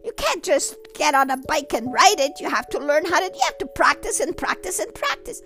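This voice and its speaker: A woman's voice: sits high, very animated, brisk, pushed and bright, faint music behind, exaggerated voice. A woman voicing a friendly boy who patiently explains things to his friend. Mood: explaining.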